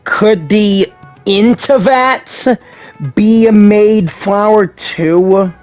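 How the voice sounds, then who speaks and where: A man's voice: high voice, extremely slow, darkish tone. A man giving casual, slangy gameplay commentary, interjecting as a story game plays. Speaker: gamer reading and reacting to a story game. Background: game music.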